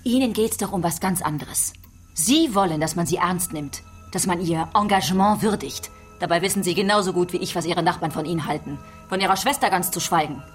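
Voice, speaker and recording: A woman's voice: lowish voice, brisk, soft background music, lightly acted. An actress playing a relentless female police detective in a radio play, probing and taunting as she interrogates a suspect.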